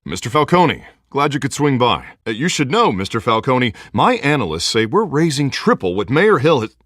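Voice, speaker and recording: An ambitious earnest politician in a video game, smooth talker, animated, dry studio sound. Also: male voice, medium pitch, normal speed, no echo. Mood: eager, placating.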